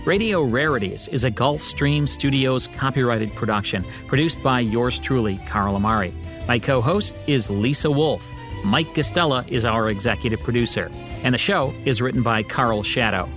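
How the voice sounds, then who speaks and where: A man with an American accent and a mid-pitched voice presents as a radio host. He reads scripted commentary in conversation with a co-host. Music plays behind him.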